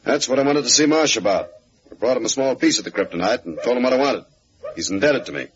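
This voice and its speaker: An actor playing an assured, ruthless crime boss in a 1940s radio serial. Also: male voice, mid-range voice, lively intonation, fast, close to an everyday voice. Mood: scheming. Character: burly male crime boss, confident and ruthless. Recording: dull old radio recording.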